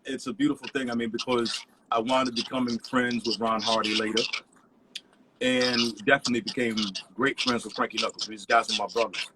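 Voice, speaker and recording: A man's voice: mid-range voice, steady tone, brisk, background noise. A man, a resident DJ, telling stories in a loose, rambling way full of "you know" over a video call. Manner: laid-back, rambling, many "you know" fillers. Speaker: resident DJ guest. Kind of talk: casual storytelling interview.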